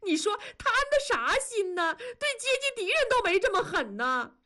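A loud, aggrieved working-class wife cries out her complaints in a tearful, breathless wail, as a woman narrator puts her on. The voice climbs very high and swings wildly between words. It is an audiobook radio drama. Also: big pitch swings, moderate pace, heavily acted. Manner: wailing, breathless scolding. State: crying.

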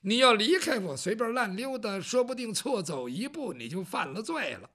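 Brief: a storyteller plays a gabby, fussy old eunuch, pitch swooping everywhere. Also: very animated, moderate pace, a bit bright. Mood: chatty. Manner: talkative, nagging, teasing. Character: chatty, fussy palace eunuch, older man. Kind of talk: traditional Chinese storytelling.

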